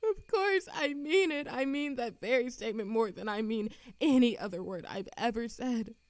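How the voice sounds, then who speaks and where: A woman's voice: on the high side, animated, moderate pace, no echo, lightly acted. A woman acting a lovesick, world-weary immortal in a scripted romantic audio roleplay, with a dramatic, yearning delivery. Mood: anguished passion.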